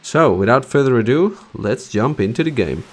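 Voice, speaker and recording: A man's voice: mid-range voice, normal speed. A man in his thirties from the Netherlands, a native Dutch speaker with a Dutch accent, casually introducing a game playthrough in a chatty way with many fillers. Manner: relaxed, chatty, with frequent uh and um. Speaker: let's play host. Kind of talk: casual gameplay commentary.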